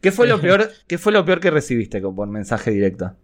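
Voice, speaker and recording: A man from Galicia with an Argentine accent, co-hosting a podcast and teasing the guest with quick questions. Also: on the high side, very animated. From Galicia, Spain. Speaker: podcast co-host. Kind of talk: casual podcast chat.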